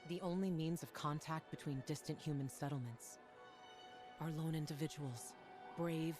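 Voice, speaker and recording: An actress playing a solemn narrator of a post-apocalyptic world, speaking in a grave, measured, dramatic way for a video game. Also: female voice, low-pitched. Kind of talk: scripted cinematic narration.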